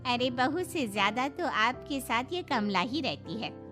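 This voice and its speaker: A woman's voice: on the high side, expressive, unhurried, music in the background. A woman playing a chatty, frank young married neighbour with a gossipy, emphatic manner in a cartoon moral story.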